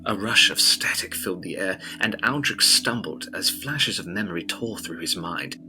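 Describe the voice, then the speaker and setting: A man narrating a scripted gothic audio drama with solemn, dramatic and deliberate phrasing. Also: sits low, noisy background. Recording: music behind the voice.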